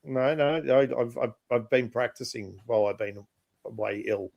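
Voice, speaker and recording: A man of middling pitch co-hosting a podcast, relaxed and chatty about comics. He drops in quick agreements and stumbles over repeated starts. His voice is recorded dry, with no echo around it. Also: vocal fry, highs cut off. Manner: easygoing, quick agreements, stammered restarts. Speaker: podcast co-host. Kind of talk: casual chat about comics.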